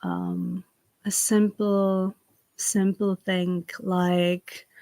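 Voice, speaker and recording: A woman with a Slovak accent, a native Slovak speaker, hosting a live-streamed ritual from home and giving slow, gentle instructions full of fillers. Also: on the low side, level tone, dry recording. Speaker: spiritual ritual host. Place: home in the south of France. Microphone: computer on a live stream.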